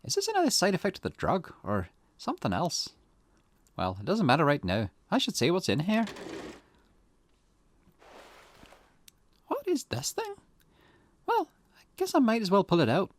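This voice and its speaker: A man's voice: sits high, wildly swooping pitch, a little fast, dry recording, voice pushed up, heavily acted. A man voicing a curious, puzzled investigator who thinks aloud in a visual novel, musing and questioning. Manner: musing, questioning, swooping intonation. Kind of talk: visual novel character reading. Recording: music behind the voice.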